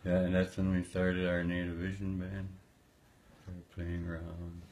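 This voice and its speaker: A man in his fifties, soft-spoken, giving short, easygoing answers that often end in "eh", recorded at home on a close phone camera while sitting with his guitar. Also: low-pitched, completely flat delivery, unhurried, a little hiss or hum. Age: 50s. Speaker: musician interviewee. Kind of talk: casual interview chat. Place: small indoor room at home, playing guitar.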